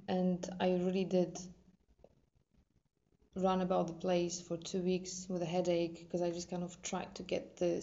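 A woman's voice: fairly low pitch, warm tone. A woman in her twenties from Poland with a Polish accent, a drama student talking casually and hesitantly about herself, in a dull lo-fi recording. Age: 20s. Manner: hesitant, many ums and restarts. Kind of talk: casual personal talk.

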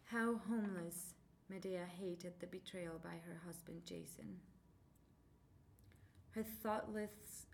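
Slow, careful young woman. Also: fairly low pitch, a little hiss or hum. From Mexico. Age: 20s. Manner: careful, deliberate, many ums. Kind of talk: reading a passage, then casual self-introduction.

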